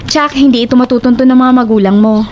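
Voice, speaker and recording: A woman playing a bold, teasing young friend in a horror audio drama, brash and excitable. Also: a little high, fast talker, close to an everyday voice. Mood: mocking.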